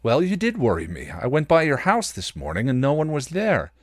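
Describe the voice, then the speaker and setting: A man's voice: mid-range voice, wildly swooping pitch, a little fast, dry recording, clean studio sound, pitched above the performer's own voice. A man voicing an indignant, jealous ex-soldier boyfriend who complains, in a story reading. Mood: upset.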